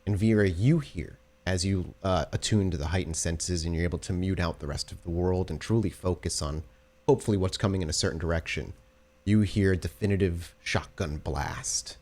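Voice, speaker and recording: A man narrating a tabletop roleplaying game as storyteller, in a measured, descriptive and dramatic cinematic style. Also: low-pitched, a little mellow. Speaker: game master, storyteller of an actual play podcast.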